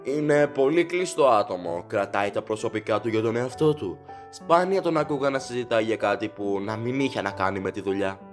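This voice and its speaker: A man's voice: lowish voice, soft background music, natural voice. A man playing a courteous, chatty factory director in an audio drama, formal, rambling and eager to please. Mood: polite, explanatory.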